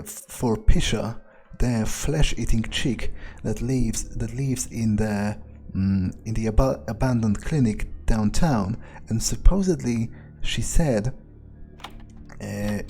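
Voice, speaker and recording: A man acts out a sardonic, wary male vampire in a video game, thinking aloud in a low, mellow voice. He mutters very slowly, crude and hesitant, pausing as he muses. Faint music plays behind him. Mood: hesitant, pondering. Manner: muttering, hesitant, musing with pauses and swearing. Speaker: gamer playing in character.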